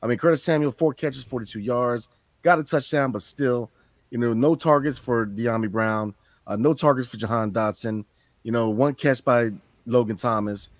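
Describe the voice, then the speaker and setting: A man speaks at a mid pitch in a loose, conversational way, breaking up his football opinions with filler phrases. He sounds close to a dry mic with no room sound. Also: moderate pace, no room sound. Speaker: podcast co-host. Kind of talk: casual sports chat.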